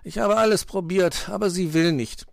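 A man's voice: sits high, normal speed, vocal fry, no room sound, clean studio sound, voice pushed up. A man voicing a grumpy, hot-tempered fox in a storybook, scolding in exasperation. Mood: resigned. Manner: scolding, exasperated, old-fashioned exclamations.

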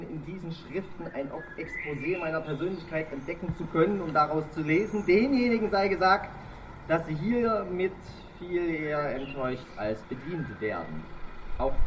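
Man, 30s, author reading his own book, ironic and mock-lofty, slightly high voice, distant mic with faint hiss. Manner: wry, mock-grand reading. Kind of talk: reading aloud his own travel writing.

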